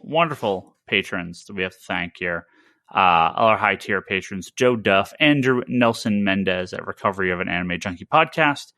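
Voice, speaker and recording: A man hosting a podcast, chatting informally and rambling through shout-outs and plugs. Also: fairly low pitch, no room sound, clean studio sound. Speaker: podcast host.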